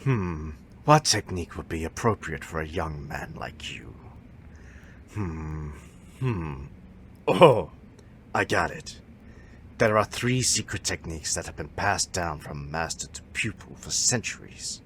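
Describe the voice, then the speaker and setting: A man playing an elderly martial arts master in an audio drama, formal and measured, musing as he speaks. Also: low voice, animated, fairly bright tone, a little hiss or hum, exaggerated voice. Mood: musing, approving.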